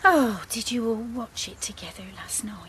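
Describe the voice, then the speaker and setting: An actress playing a middle-aged village mum, homely and gossipy, in a radio drama. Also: female voice, on the high side, expressive, moderate pace, a bit bright, slight background noise. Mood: chatty, obliging. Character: chatty, knowing village mum and grandmother. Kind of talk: naturalistic radio drama acting.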